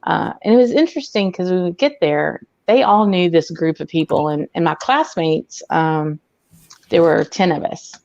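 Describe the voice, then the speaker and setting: A woman with a mixed Southern accent tells stories as a podcast guest, filling pauses with ums and breaking into laughs. Her voice sits low. The sound is dry and a bit muffled. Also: vocal fry, a little mellow, no echo. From Arkansas, US. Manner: chatty storyteller with frequent ums and laughs. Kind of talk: casual chat.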